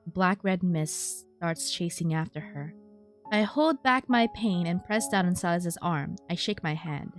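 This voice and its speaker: A woman's voice: lowish voice, lively intonation, unhurried, faint music behind. A woman streaming a story game, reading its text aloud expressively with casual, wry asides. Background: game soundtrack.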